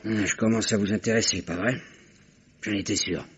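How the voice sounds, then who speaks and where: A man's voice: lowish voice, steady tone, normal speed, fairly bright tone, slightly rough. An actor playing a surly, crude-talking taxi driver in his thirties in a vintage French radio drama. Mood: bitter. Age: 30s. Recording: dull old radio archive recording.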